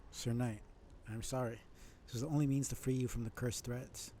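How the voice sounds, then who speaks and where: A man's voice: medium pitch, steady tone, brisk, noisy background, pitched above the performer's own voice, natural voice. A man voicing a solemn, regretful warrior from a fantasy video game in grave, formal speech.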